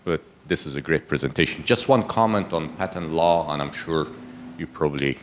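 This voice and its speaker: Male audience member, deep voice, friendly halting remark, faint hum behind. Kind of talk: comment from the floor.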